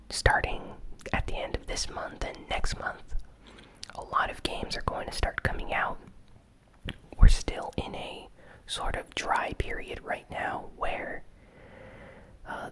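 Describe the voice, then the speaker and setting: An ASMR news host who speaks entirely in a whisper. The delivery is very slow and gentle, with phrases parcelled out softly as the recap goes along. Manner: soft, unhurried whispering. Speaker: host of a gaming news show.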